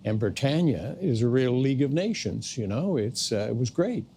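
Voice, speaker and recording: A man's voice: medium pitch, animated, moderate pace, warm tone, slight background noise. An older man relaxedly telling stories from his childhood in a documentary interview, with casual asides. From Canada, British Columbia coast.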